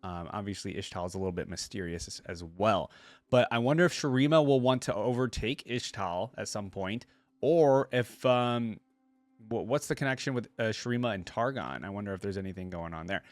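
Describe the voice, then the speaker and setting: A man's voice: lowish voice, lively intonation, fairly quick, no echo. Chatty man giving casual commentary, dry close sound. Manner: chatty, rambling, informal. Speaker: host of a game lore reaction channel. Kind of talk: casual reaction commentary to camera.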